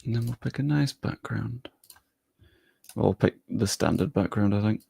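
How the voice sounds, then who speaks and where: A man's voice: on the low side, normal speed, vocal fry, dark tone, husky. A young man live-streaming, chatting casually with his viewers and thinking aloud as he works on screen.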